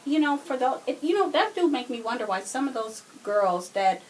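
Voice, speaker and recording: A woman's voice: high-pitched, sing-song, moderate pace. A woman giving her opinions casually as a podcast guest, on a hissy home recording.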